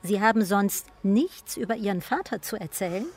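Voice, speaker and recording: A woman's voice: mid-range voice, normal speed, natural voice. A woman playing an imperious widow who boasts of noble blood, in a radio play, with a formal, commanding manner. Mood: indignant, demanding. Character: imperious Polish widow boasting of princely Russian ancestry. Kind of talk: German radio play acting.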